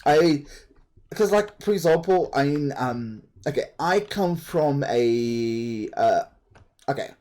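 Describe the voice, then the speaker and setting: A man's voice: a little high, lively intonation, takes it slowly, dark tone. A man from South Africa with a South African accent, chatting in a rambling way while streaming a game and laughing easily. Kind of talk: casual chat while playing a game.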